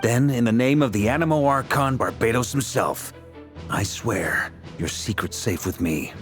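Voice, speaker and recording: A man's voice: mid-range voice, normal speed, soft background music, lightly acted. A man playing a genial, teasing, charismatic knight commander in a video game, with a jovial, theatrical manner. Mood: conspiratorial.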